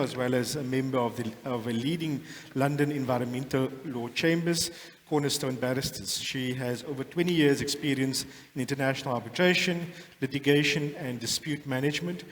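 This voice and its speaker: A man's voice: mid-range voice, brisk, big-room sound, slight background noise. A man moderating a conference panel, formally introducing the next speaker.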